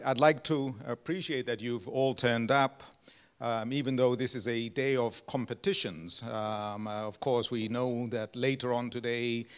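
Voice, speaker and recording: A man's voice: medium pitch, clear, resonant tone. A man directing a research center, giving opening remarks at an anniversary event, speaking English as a second language with many ums and uhs and self-deprecating humor. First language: not English (non-native speaker).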